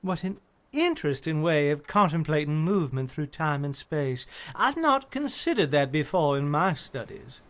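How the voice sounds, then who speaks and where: A courteous, inquisitive scholar in a radio drama. His pitch is a little high and moves in a lively way, with formal, polite phrasing. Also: moderate pace. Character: curious, courteous gentleman scholar probing strange mysteries. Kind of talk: radio play acting.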